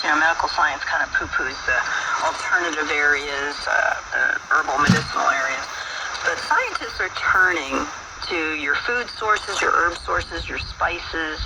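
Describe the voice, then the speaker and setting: A man hosting a radio talk, explaining informally and reading aloud in a halting, folksy way, heard over shortwave radio. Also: a little high, vocal fry. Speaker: radio show host. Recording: very noisy shortwave radio broadcast, distant.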